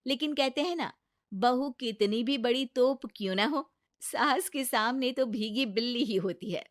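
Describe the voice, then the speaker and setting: High-voiced woman narrating a story. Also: a little slow, no room sound, clean studio sound. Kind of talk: scripted Hindi storytelling narration.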